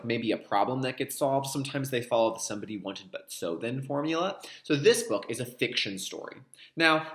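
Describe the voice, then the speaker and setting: A man's voice: mid-range voice, a bit bright, roomy sound. A man teaching young children through a read-aloud, speaking to them directly and explaining as he goes. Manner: addresses young listeners directly, repeats key terms. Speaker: kindergarten teacher reading a picture book aloud.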